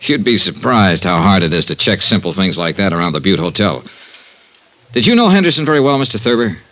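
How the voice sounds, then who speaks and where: Cool radio detective, lowish voice that moves around a lot, clipped probing questions, old 50s broadcast. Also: male voice, sing-song, brisk, pushed and bright, exaggerated voice. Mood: pressing. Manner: terse, probing, matter-of-fact questioning. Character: cool, probing male insurance investigator narrating his case. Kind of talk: 1950s radio detective drama acting. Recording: vintage 1950s radio recording.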